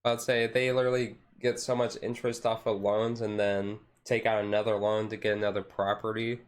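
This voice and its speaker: Low, monotone man rambling casually. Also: brisk. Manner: rambling, hedging with repeated "like" and "and stuff". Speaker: streamer. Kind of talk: casual rambling livestream chat; relaxed ASMR chill stream.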